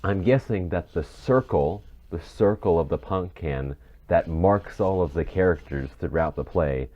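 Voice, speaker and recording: A man's voice: sits low, measured pace, a little mellow, muffled recording. A man co-hosting a podcast, thinking aloud tentatively in casual discussion. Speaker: podcast co-host.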